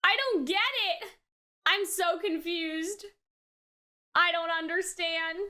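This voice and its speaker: Female reaction host, very high-pitched, unhurried delivery, exclamatory and unscripted. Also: bright, forceful tone. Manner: exclamatory, repeats words, thinks aloud. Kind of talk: casual off-the-cuff reaction commentary on anime.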